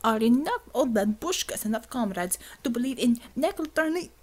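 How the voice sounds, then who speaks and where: A woman's voice: fairly high pitch, lively intonation, moderate pace, pitched above the performer's own voice. A woman voicing a hot-tempered Russian female commissar for an audiobook, ranting scornfully in accented, broken English. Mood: furious.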